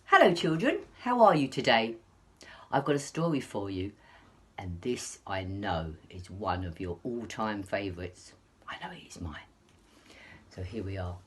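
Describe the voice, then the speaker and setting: A woman's voice: rumbling low, big pitch swings, a little slow, slight background noise. A woman storyteller reading expressively to young children, with a dramatic delivery. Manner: dramatic, with hushed asides. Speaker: early years storyteller.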